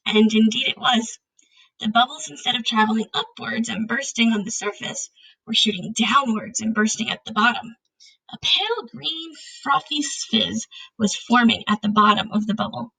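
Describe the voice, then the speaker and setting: A woman storyteller with a fairly high voice and a lot of creak. She narrates a children's book and adds casual explanations as she goes. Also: normal speed, pushed and bright, poor audio. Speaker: read-aloud storyteller.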